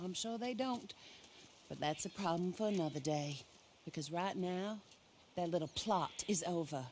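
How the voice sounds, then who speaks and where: A woman's voice: lowish voice, a little mellow, a little hiss or hum. A woman playing a vengeful, imperious widow in a game cutscene, speaking in a formal, theatrical and scornful way. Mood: dry, resolute. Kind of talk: dramatic game cutscene acting.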